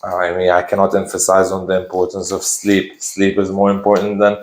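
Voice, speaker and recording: A man with a low voice explains things in a relaxed, casual way, often restarting his sentences. The room is echoey, so his voice bounces back a little. He sounds like a guest answering questions in a conversation. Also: a little fast, slight background noise. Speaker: physiotherapist guest.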